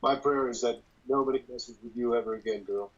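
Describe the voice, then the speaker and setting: A man's voice: mid-range voice, fairly even intonation, fairly quick. A man in his early seventies talking casually and in a rambling, folksy way as he leads a group call. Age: early 70s. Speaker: church leader leading a group call. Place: dim room at home, on a video call. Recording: dull, lo-fi video call audio.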